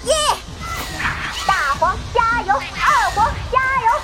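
A woman playing a spirited young girl adventurer with pet fighting ducks in a fantasy audio drama, excitable and exclamatory. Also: extremely high pitch, takes it slowly, exaggerated voice. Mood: cheering. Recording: loud music behind the voice.

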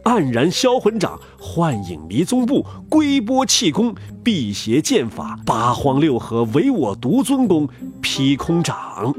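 A man narrating a children's story in an expressive, playful way. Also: on the high side, unhurried, hardly any pauses. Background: music.

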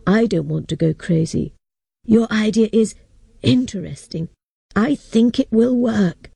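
A woman voicing a solemn, apologetic old man who leads a council, speaking slowly and gravely. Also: medium pitch, mellow, no room sound, exaggerated voice. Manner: slow, grave and regretful.

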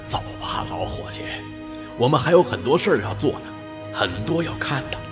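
A man's voice: medium pitch, very animated, moderate pace, music in the background. A man playing an eager, awed dog companion in a radio drama, with an earnest, theatrical delivery. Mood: eager, wondering. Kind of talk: dramatic radio play acting.

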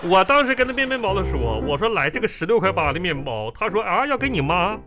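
Animated, folksy Northeastern Chinese husband. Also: male voice, sits high, quick pace. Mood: exasperated. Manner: blustering, folksy, excuse-making. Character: hapless, teasing middle-aged husband. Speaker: comedy radio drama performer. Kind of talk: comedic radio drama dialogue. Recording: music behind the voice. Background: background music.

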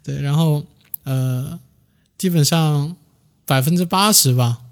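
A man's voice: fairly high pitch, slow pace. A man speaking casually as a musician being interviewed, with a modest, easygoing manner.

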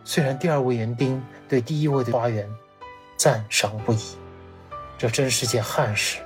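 A man's voice: medium pitch, darkish tone. Man narrating a story over music. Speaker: podcast guest. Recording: music behind the voice.

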